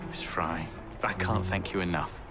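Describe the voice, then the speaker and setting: An actor playing a weary, relieved Victorian police sergeant, courteous and steady, in a video game. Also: male voice, low voice, moderate pace, lightly acted. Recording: loud music behind the voice.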